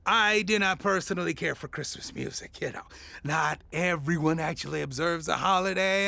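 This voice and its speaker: High-pitched, fussy radio host. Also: male voice, gravelly, bright, forceful tone, no echo, heavily acted. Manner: dramatic, opinionated. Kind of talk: audio drama comedy acting.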